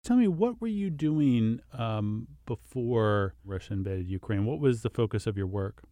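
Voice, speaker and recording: A man's voice: fairly low pitch, lively intonation, normal speed, warm tone, dry recording. A man hosting a podcast, introducing and interviewing a guest in a friendly, conversational way with occasional ums. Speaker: podcast host.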